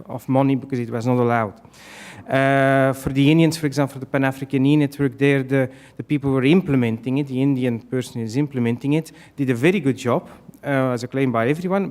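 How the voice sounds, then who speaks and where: Male conference panelist, mid-pitched, hesitant with lots of fillers, answering questions. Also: a little mellow. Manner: hesitant, many fillers and restarts; self-deprecating.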